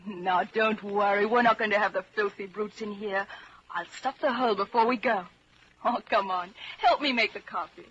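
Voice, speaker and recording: An actress playing a bold, affectionate young woman and secret lover in a 1940s radio drama, tender and lively. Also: medium pitch, normal speed, husky. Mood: soothing, affectionate. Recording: muffled, noisy 1940s radio recording.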